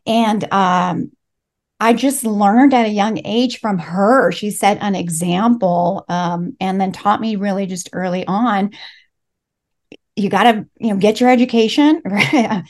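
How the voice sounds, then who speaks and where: A woman's voice: medium pitch, unhurried, a little mellow. A middle-aged woman from Los Angeles, a native Spanish speaker, telling her story in an earnest, reflective way as an interview guest. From Los Angeles, United States. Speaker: life coach, interview guest. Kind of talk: interview conversation.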